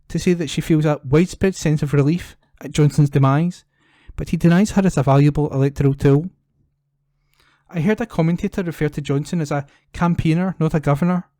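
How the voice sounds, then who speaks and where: Mellow, higher-voiced man, dry sound. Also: no room sound. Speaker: volunteer newspaper reader. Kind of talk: reading readers' letters aloud for a talking newspaper. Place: at home, indoors.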